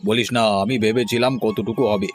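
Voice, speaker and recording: A man's voice: on the low side, steady tone, fairly quick, soft background music, natural voice. A man playing a blunt, bargaining villager in a cartoon, informal and matter-of-fact. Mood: approving. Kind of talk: cartoon voice acting.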